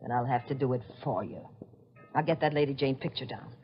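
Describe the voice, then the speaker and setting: A woman's voice: very deep voice, fairly quick. A woman playing a ruthless, gold-hungry murderess in a 1940s radio mystery, commanding and taunting. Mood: greedy, commanding. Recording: muffled 1949 radio recording, music behind.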